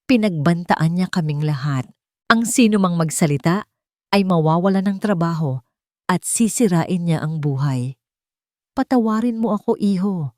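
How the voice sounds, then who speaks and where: A woman's voice: fairly low pitch, mellow, no echo, clean studio sound, natural voice. Woman narrator as frightened, guilt-ridden old housemaid. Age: older. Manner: hesitant, bitter, pleading. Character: frightened, guilt-ridden elderly housemaid confessing dark secrets.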